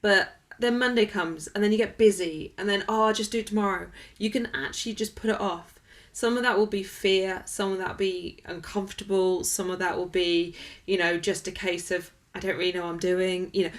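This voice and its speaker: A woman's voice: medium pitch, steady tone, brisk. A woman being interviewed as a wellness coach, talking conversationally in an emphatic, motivational way with everyday examples.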